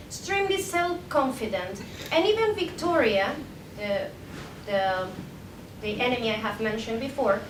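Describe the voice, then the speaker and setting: Young woman w reading out a conference paper, pretty high voice, mic kinda far off in an echoey room. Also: fairly bright tone. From Spain. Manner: reading from a prepared text with occasional stumbles. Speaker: academic presenter, PhD candidate. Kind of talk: conference paper read aloud. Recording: echoey room, distant mic, faint rumbling noise.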